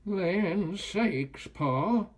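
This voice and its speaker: A man's voice: high-pitched, lively intonation, very slow, pitched above the performer's own voice, full character voice. A man voicing a homely, plain-spoken farmer's wife in a storybook, folksy and wondering. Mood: amazed.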